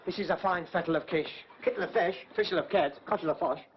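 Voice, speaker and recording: An actor playing a diminutive, wisecracking agency co-owner, with cheeky quips and playful wordplay, in 1940s film comedy style. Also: male voice, high voice, fast, slight background noise, lightly acted. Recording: dull old film soundtrack.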